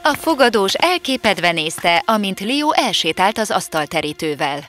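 Expressive woman narrating a fairy tale. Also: medium pitch. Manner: expressive, storytelling lilt. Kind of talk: scripted storybook narration.

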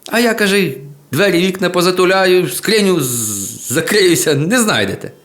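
Man as jolly crafty village shoemaker, slightly high. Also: lively intonation, normal speed, a bit bright. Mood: crafty, teasing. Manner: joking, colloquial. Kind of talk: folk tale storytelling. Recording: guitar music behind the voice.

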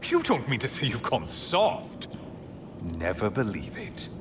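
An actor playing a smug, theatrical sun god in a video game, with an oily tone and a sarcastic, taunting drawl. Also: male voice, on the low side, huge pitch swings, unhurried, crowd noise. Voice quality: slimy, oily tone.

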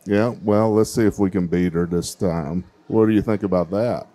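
A man's voice: low-pitched, steady tone, moderate pace, mellow. Friendly man hosting a contest. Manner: friendly, encouraging, chatty. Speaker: contest host. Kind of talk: emcee interviewing child contestants. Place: large indoor fair hall. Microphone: handheld mic.

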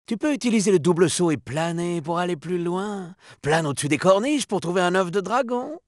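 High, lively cocky cheetah sidekick. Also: male voice, fairly quick, no room sound, studio quality. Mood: upbeat, helpful. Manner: breezy, boastful, chummy. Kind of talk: video game character voice acting in French.

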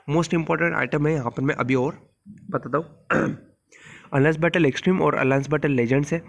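Host type: hoarse Indian man, fairly high voice, blunt and informal game-tips voice-over. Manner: informal, blunt, mixes English terms into speech. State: hoarse, just woke up, sore throat. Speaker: mobile game channel host. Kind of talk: casual gameplay guide voice-over.

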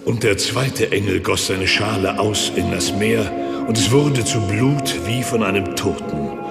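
Solemn male scripture reader for a documentary: low, gravelly, portentous, over loud music. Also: measured pace. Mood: solemn, ominous. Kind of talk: dramatic scripture reading. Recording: cavernous long reverb.

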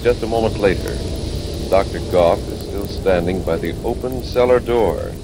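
A man's voice: lowish voice, moderate pace, noisy background. A man narrating a radio mystery in a dramatic 1940s announcer style. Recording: old 1940s radio recording with music.

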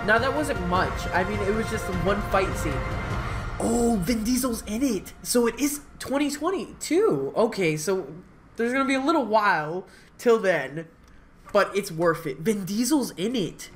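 A man's voice: high voice, lively intonation, measured pace, faint music behind. A man in his thirties hosting a casual live reaction, rambling and exclaiming in slang, recorded in a room at home. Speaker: reaction video host. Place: home room with kitchen, indoors.

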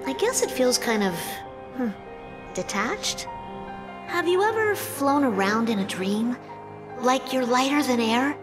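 Sweet young game hedgehog heroine, very high voice, loud music underneath. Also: female voice, measured pace. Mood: wistful, relieved. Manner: girlish, expressive, earnest. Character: sweet, earnest young female cartoon hedgehog. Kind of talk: video game cutscene acting.

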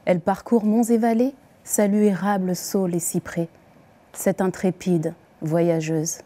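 A woman reciting poems as the poet herself, with deliberate, expressive phrasing and pauses. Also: fairly low pitch. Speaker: poet reading her own poems. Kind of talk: poetry recitation.

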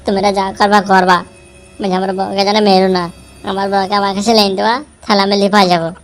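A woman's voice: medium pitch, flat delivery, fairly quick, natural voice. A woman voicing a heartbroken, lovelorn young husband in a comedy cartoon, speaking plaintively. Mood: sorrowful. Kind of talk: comedy cartoon character dialogue. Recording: music behind the voice.

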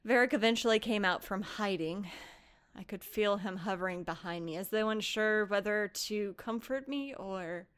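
A woman playing an earnest, haunted young female blacksmith in tabletop roleplay, telling her story in measured, literary phrases with dramatic pauses. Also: mid-range voice, a bit bright, clean tone, close to an everyday voice. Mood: somber.

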